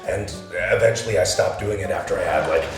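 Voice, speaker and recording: Hoarse man, gravelly voice, jokey vlog host, very echoey room, faint music. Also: deep voice, fast talker. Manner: joking, loud bursts. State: hoarse from illness. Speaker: YouTube host. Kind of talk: casual comedic vlog.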